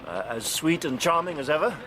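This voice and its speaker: An actor playing a jovial, wry Victorian elder brother with a hearty, wordy manner in a radio drama. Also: male voice, a little high, very animated, fairly quick, sharp tone, a little hiss or hum, low-quality recording. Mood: wry, teasing.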